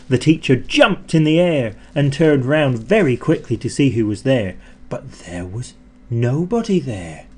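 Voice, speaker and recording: A male storyteller with a mid-pitched voice reads to children as an audiobook narrator. His delivery is theatrical and expressive, stretching key words for effect. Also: a little hiss or hum.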